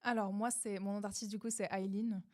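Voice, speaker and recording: A woman's voice: medium pitch, steady tone, brisk, darkish tone. A woman from Lausanne, a pop soul singer and podcast guest, chatting with an enthusiastic, giggly manner. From Lausanne, Switzerland. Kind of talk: casual podcast chat.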